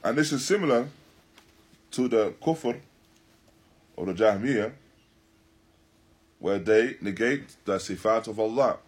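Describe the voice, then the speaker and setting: A man teaching in a religious lecture, deliberate and emphatic, repeating and rephrasing his phrases as he explains a text. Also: lowish voice, slightly rough. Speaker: religious teacher giving a lesson. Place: Islamic centre, live stream.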